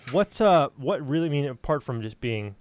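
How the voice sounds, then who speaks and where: A man hosting a casual podcast, asking questions in a halting, joking, informal way. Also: medium pitch, animated. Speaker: podcast host, writer. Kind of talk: casual chat.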